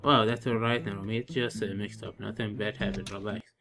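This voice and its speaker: A man's voice: on the low side, little pitch movement, a little fast, crowd noise, natural voice. Man reading as an easygoing young heir. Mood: reassuring. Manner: casual, hesitant. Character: easygoing, reassuring young male heir, lord of the manor.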